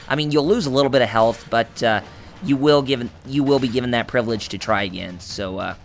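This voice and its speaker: Hoarse, rambling gameplay host. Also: male voice, mid-range voice, a little fast, faint music behind. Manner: rambling, full of fillers and restarts, jokey. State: hoarse, raspy voice. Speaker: let's play host. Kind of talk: gameplay commentary.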